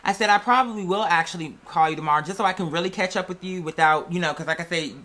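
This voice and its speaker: A man with a fairly high, very bright voice talks in a casual, affectionate way. He sounds earnest and circles back over his phrases to stress them, like a host speaking to his followers. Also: fast. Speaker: vlog host.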